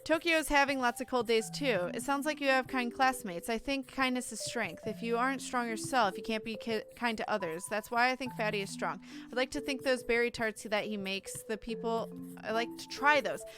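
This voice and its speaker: Woman streamer, higher-pitched, irreverent, expressive, casual commentary and text reading, clear game music behind. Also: brisk, hardly any pauses. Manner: expressive, irreverent, reacts bluntly.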